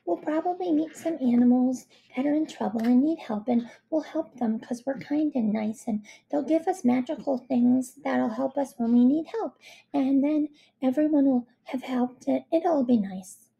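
A cheerful little storybook girl played by a woman, high-pitched and childlike. She is chirpy, kind and naively confident. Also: moderate pace, creaky, dark tone, dull audio. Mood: cheerful, untroubled.

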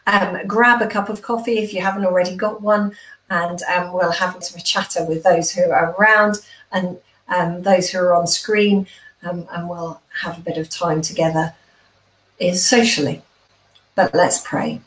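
A woman's voice: on the low side, fairly even intonation, normal speed, fairly bright tone, far from the mic. A middle-aged British woman speaking as a vicar leading an online church service from home over a video call. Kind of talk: informal notices and spoken prayer. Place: small room at home, indoors. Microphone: computer microphone on a video call. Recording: roomy echo on a video call.

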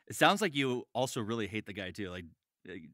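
A man's voice: lowish voice, very animated, a little fast, sharp tone, no room sound, studio quality. A man chatting casually and informally, repeating himself and using slang. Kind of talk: casual chat.

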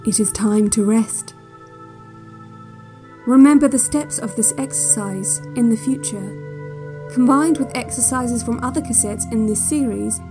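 Mellow, high-voiced woman acting a soothing cassette instructor. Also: natural voice. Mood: calm, instructing. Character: calm, controlling female relaxation tape instructor, quietly ominous. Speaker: narrator of relaxation cassettes. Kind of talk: guided relaxation audio drama. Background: new-age music.

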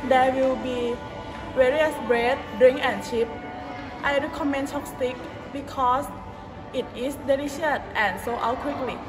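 A nineteen-year-old woman giving brisk, simple recommendations in a casual vlog. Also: high-pitched, fairly even intonation, over music. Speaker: psychology student.

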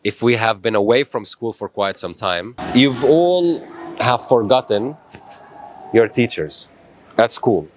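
A middle-aged man hosts a live stream with an upbeat, motivational manner. His voice sits at an ordinary mid pitch. He talks into a small lapel mic in a compact indoor studio. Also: animated, slow pace, a little hiss or hum. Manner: upbeat, motivational, thanks commenters. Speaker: host.

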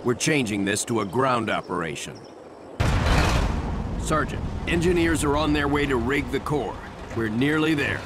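An actor playing a steady, middle-aged starship captain giving calm, authoritative orders in a video game cutscene. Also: male voice, mid-range voice, moderate pace, heavy background noise, lightly acted. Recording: game audio under loud orchestral score.

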